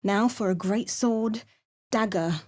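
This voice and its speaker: An actress playing a wry, curious spellcasting adventurer in a fantasy video game, with a measured, storylike delivery. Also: female voice, mid-range voice, a little mellow, no room sound, studio quality, close to an everyday voice. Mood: wry, musing. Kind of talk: video game character voice lines.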